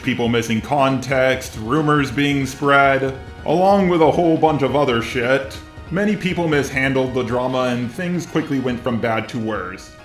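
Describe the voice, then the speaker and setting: A man's voice: medium pitch, reverberant. A synthetic text-to-speech man's voice narrating a scripted commentary video. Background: background music.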